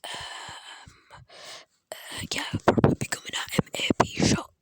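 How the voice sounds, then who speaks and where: A person speaking in a breathy, unvoiced whisper, telling an improvised fan story in a rambling, halting way, close to a phone. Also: no echo. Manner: rambling, halting, acting out characters' dialogue in passing.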